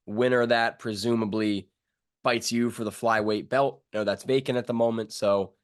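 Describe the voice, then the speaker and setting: A man's voice: medium pitch, steady tone, moderate pace, smooth. A man hosting a casual sports interview, asking informal, rambling questions full of slang. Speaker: sports show host interviewing a fighter.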